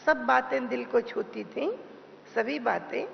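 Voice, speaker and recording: A woman giving a devotional class as a spiritual teacher, speaking deliberately with pauses and repeated phrases, in a dull, noisy hall recording. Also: a little high, creaky.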